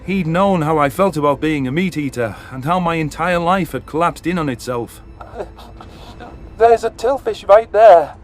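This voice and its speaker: A man's voice: a little high, a little fast, few pauses, a bit bright. A man narrating a science fiction story in the first person with dramatic delivery. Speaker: audiobook narrator. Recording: narration over background music.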